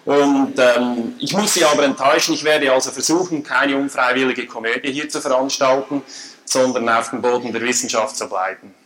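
A man with a Swiss German accent giving a formal lecture at a conference, measured and dryly humorous. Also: medium pitch, steady tone, a bit bright, a little hiss or hum, low-quality recording. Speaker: conference speaker. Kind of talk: academic lecture. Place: lecture hall.

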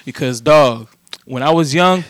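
Higher-voiced American man, emphatic and slangy. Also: measured pace, no room sound. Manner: heavy slang and profanity, emphatic. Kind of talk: casual anecdotal conversation.

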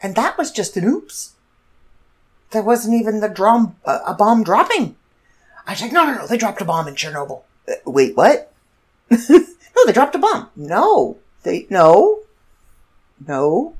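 A woman's voice: medium pitch, sing-song, a little slow, long pauses. A congested woman in her fifties, hosting a casual solo podcast and rambling through stories with self-corrections. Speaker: podcast host.

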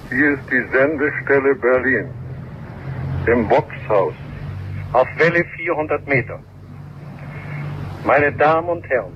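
A man making a solemn, deliberate, formal announcement in the manner of early 1920s radio. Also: on the high side. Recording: muffled, noisy old radio archive recording.

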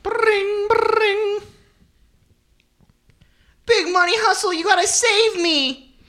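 A man's voice: extremely high pitch, slow, sharp tone, voice pushed up, heavily acted. A man voicing a pleading pregnant woman in a melodramatic radio play. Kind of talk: campy radio-play acting.

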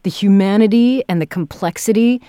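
A woman's voice: mid-range voice, brisk, dark tone, dry recording, clean studio sound. A woman hosting a casual interview podcast, speaking effusively and thoughtfully, feeling her way through her phrases. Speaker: podcast host, director.

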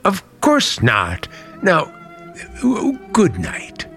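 An actor playing a charming but secretive and bitter uncle in an old-time radio drama, hesitant one moment and sharp the next. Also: male voice, sits high, huge pitch swings, very slow, soft background music, full character voice. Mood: flustered, evasive.

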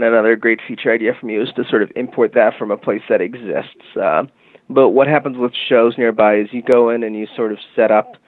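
A man being interviewed, explaining a product in a relaxed conversational way with lots of fillers. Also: medium pitch, dry recording. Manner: relaxed, frequent fillers like "you know" and "um". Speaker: guest, music marketing tech company founder. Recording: dry phone-like line.

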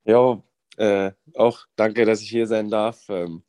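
A young man with a cold chats as a guest, relaxed and full of hesitations. His voice is very low, and the recording is dry and close. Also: slow pace, no echo. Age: 20s. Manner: relaxed, hesitant, lots of fillers. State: has a cold. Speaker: guest, ice hockey goalie.

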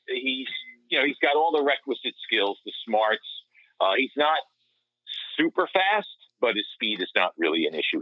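A man with a low voice gives sports analysis as a podcast panelist. He explains things conversationally, wandering into asides and back. He comes over a dry but slightly muffled, lo-fi remote line. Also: no echo. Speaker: hockey radio play-by-play announcer, podcast panelist. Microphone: remote call. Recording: dull lo-fi call-in line.

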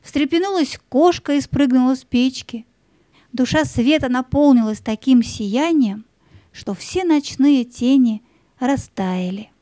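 A woman narrating a children's audio play as a scripted story, in an expressive, lyrical storytelling manner. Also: high voice, a little slow, no room sound.

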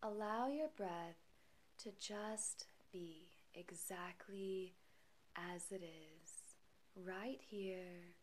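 A woman leading a guided meditation in a soft, breathy voice, calm and soothing, with long pauses between phrases. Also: fairly low pitch, slight background noise. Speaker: meditation guide and podcast host.

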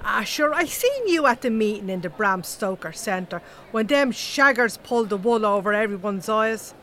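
An actress playing a blunt, chatty, gossipy Irish animal-rights activist with an earthy colloquial manner in a comedy audio drama. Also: female voice, mid-range voice, lively intonation, moderate pace, fairly bright tone, slight background noise, close to an everyday voice. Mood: teasing, gossipy.

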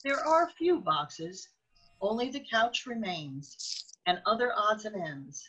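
A woman's voice: medium pitch, sing-song, slow, sharp tone, highs cut off, slight background noise. A woman reading scripted scene narration as the narrator of a radio drama.

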